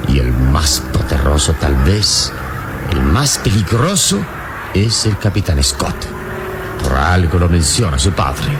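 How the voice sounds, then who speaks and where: A man acting a calm, gallant adventurer hero in an old Latin American radio serial, speaking deliberately and theatrically. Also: low voice. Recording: noisy radio drama with engine drone.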